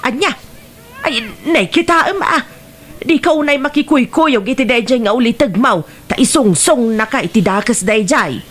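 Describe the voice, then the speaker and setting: A woman's voice: fairly high pitch, lively intonation, moderate pace, a bit bright. A woman playing a worried, nagging mother of a wayward son in a radio drama, with an Ilocano accent. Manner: nagging, reproachful.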